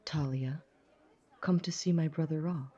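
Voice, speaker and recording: A woman playing a haughty, menacing, status-proud figure with a dark husky voice and cutting manner in an audio drama. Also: deep voice, steady tone, a little slow, highs cut off, a faint crowd. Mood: icy, threatening. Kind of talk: audio roleplay drama acting.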